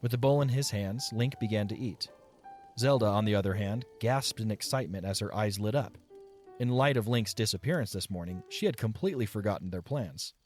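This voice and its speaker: A man's voice: fairly low pitch, a little fast, a little mellow, soft background music. A man reading scripted story narration as the narrator of an audio drama.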